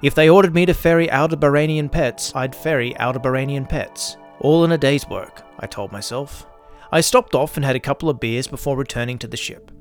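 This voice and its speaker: A man's voice: medium pitch, brisk, soft background music. A man hosting and narrating scripted science fiction audio stories in the first person. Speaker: host and narrator.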